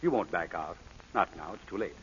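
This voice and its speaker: A brisk, low-voiced scheming crook. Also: male voice, expressive. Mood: menacing, insistent. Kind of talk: 1930s-40s radio drama acting. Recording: dull, noisy vintage radio broadcast.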